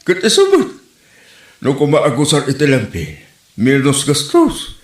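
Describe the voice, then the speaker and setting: A man plays a nagging village complainer in a comedy radio play. His Ilocano-accented voice leaps up and down with lively pitch, heard in an echoey room. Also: a little slow. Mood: complaining. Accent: Filipino, Ilocano. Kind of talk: amateur comedy drama acting in Ilocano. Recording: roomy echo.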